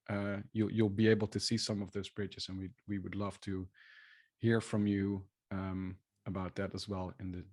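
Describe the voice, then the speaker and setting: Halting man moderating an online seminar. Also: fairly low pitch, a little mellow, no room sound, studio quality. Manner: halting, many fillers and restarts. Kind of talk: hesitant live moderation. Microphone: video call.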